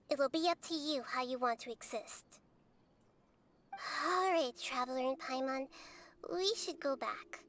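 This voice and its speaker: A woman voicing a small, wise and calm girl goddess in a video game, speaking in a soft, clear, measured way. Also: high voice. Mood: stern. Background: game music.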